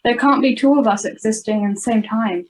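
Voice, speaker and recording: A woman's voice: fairly high pitch, a little fast, darkish tone, natural voice. An Australian girl of ten or eleven playing an earnest, urgent schoolgirl adventurer in an amateur radio play. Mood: alarmed. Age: child, 10 to 11. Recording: home video-call recording.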